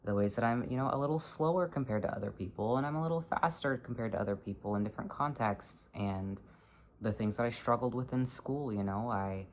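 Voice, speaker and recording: A person in their twenties with a low voice, talking earnestly in a halting, reflective personal vlog. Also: a little hiss or hum. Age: 20s. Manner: earnest, halting, searching for words. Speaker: vlogger.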